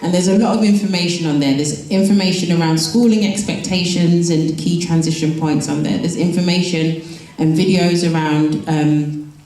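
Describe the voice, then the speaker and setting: Low, mellow woman in an echoey hall. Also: normal speed. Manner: reassuring, repeats herself, many ums. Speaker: council education team manager. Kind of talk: community presentation.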